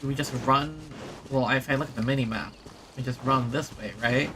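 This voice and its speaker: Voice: medium pitch, steady tone, brisk, a bit bright, slight background noise. A streamer giving playful, casual game commentary and reading text aloud. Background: game music.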